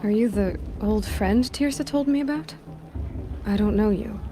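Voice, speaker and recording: A stubborn young outcast huntress from a video game, acted by a woman. Her voice is mid-pitched, direct and earnest, with music clearly audible behind it. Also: normal speed, dark tone, lightly acted. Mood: puzzled. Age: young adult. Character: determined, stubborn young female outcast hunter. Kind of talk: naturalistic video game acting.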